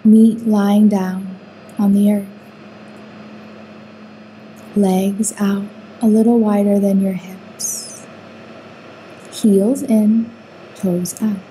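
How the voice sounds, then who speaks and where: A woman guiding a sleep meditation with soothing, unhurried instructions and long pauses between phrases. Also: medium pitch, mellow, slight background noise, poor audio. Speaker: meditation guide.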